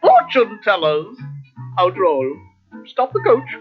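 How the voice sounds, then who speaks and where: A man's voice: high-pitched, huge pitch swings, takes it slowly, full character voice. A man playing a greedy, pompous prince in a children's storybook, theatrical and haughty, drawing out words. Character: greedy, gloating, pompous male animal prince. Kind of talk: children's cartoon storybook acting. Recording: dull cassette, faint music behind.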